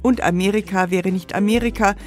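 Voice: mid-range voice, steady tone, fairly quick, soft background music. A narrator reading a scripted radio history feature.

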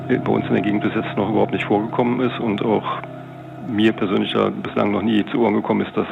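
Middle-aged male investigator, interview recollection, deep-leaning and full of fry, hesitant phrasing, lo-fi sound over a music bed. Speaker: police investigator recounting a case. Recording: dull lo-fi sound, music underneath.

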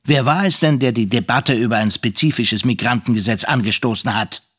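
A man's voice: mid-range voice, fairly quick, a bit bright, slightly rough. A man playing a calculating, vengeful state premier in a cold, pointed monologue. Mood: cold, scheming. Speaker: actor in a radio drama. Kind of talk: dramatic first-person monologue.

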